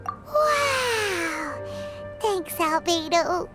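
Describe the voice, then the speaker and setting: A woman voicing a tiny, excitable, bossy fairy girl companion in an animated game, with a squeaky childlike voice and exclamatory delivery. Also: very slow, heavily acted. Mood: delighted, excited. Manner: speaks of herself in third person, exclamatory. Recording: music playing behind the voice. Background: music.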